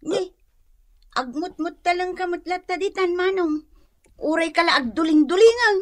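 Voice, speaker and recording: A woman's voice: extremely high pitch, expressive, normal speed. A female actor playing a feisty, blunt village woman who scolds and exclaims, with an Ilocano accent, in a radio comedy drama. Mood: dry.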